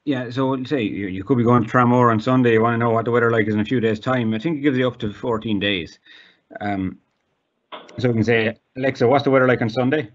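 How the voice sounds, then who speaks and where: A man's voice: mid-range voice, quick pace. Irish guy doing a casual tech demo, bit hesitant and informal, slightly dull lo-fi sound. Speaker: guest demonstrating accessible technology. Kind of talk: casual live demonstration.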